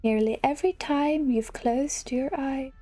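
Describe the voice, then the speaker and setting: A woman voicing a kindly, forgetful elderly fairy godmother in a video game, gentle and hesitant, fussing as she goes. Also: high voice, a little slow. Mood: earnest.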